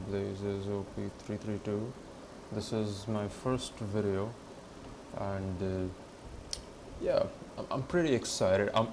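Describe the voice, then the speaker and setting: Low-voiced young man streaming, rambling. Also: noisy background. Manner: rambling, repeats himself, swears casually. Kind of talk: casual live stream chat. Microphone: headphones with monitoring.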